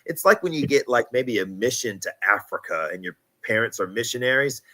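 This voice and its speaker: A man in his thirties, hosting a casual podcast conversation, chatty and quick to laugh, acting out little quotes as he talks. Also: mid-range voice, expressive, pushed and bright, low-quality recording. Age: 30s. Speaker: podcast host.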